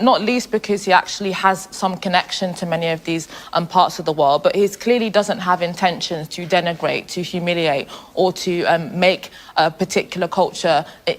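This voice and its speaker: A woman giving a measured opinion as a panellist, in formal, carefully chosen words. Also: on the low side. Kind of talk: measured discussion.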